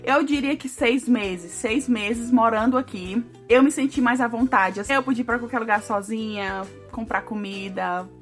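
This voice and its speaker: A woman's voice: on the high side, normal speed, few pauses, soft background music. A young Brazilian woman with a Brazilian Portuguese accent, chatting casually to her viewers, often adding "né" and "sabe". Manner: chatty, addresses viewers as "gente", frequent "né" and "sabe". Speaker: vlogger. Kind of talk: casual chat to camera.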